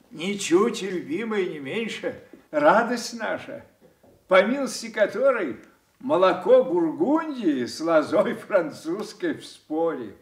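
An older actor playing a proud, commanding aging king in a classical radio tragedy, speaking in a stately, declamatory way. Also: fairly high pitch. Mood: grandly bestowing. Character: imperious aging king dividing his realm, proud. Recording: roomy echoing stage sound, slightly distant.

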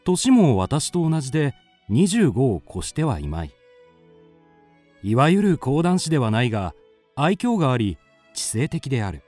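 A man's voice: medium pitch, big pitch swings, moderate pace, darkish tone, faint music behind. An actor playing a curious man in his twenties who narrates a mystery radio drama. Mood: brooding, measured.

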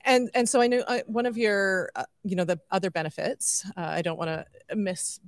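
A woman's voice: mid-range voice, steady tone, brisk, no room sound, clean studio sound. A middle-aged woman interviewing a guest in a conversational way on a handheld microphone. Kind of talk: conversational trade-show interview. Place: indoor interview booth at a conference.

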